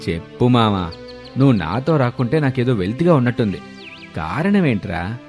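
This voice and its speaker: A man's voice: mid-range voice, big pitch swings, moderate pace, a little mellow, soft background music. A man voicing a pushy, chummy drinking buddy in a moral story, slangy and cajoling. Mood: probing. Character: pushy, chummy male drinking buddy, coaxing his friend. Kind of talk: Telugu moral story dialogue.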